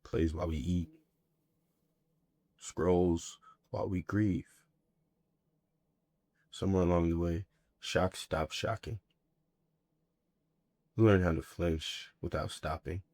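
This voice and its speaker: Deep-voiced man delivering a reflective monologue. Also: steady tone, a little mellow, no echo. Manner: measured, deliberate, reflective, with short weighted phrases. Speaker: monologue performer. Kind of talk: scripted spoken-word monologue.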